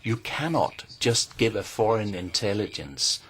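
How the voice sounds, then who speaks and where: A man giving measured, formal testimony to a committee, pausing often and hesitating between phrases. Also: lowish voice, animated, a bit bright, a little hiss or hum. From Norway. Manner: measured, deliberate, with frequent pauses and hesitations. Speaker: invited speaker at a parliamentary hearing.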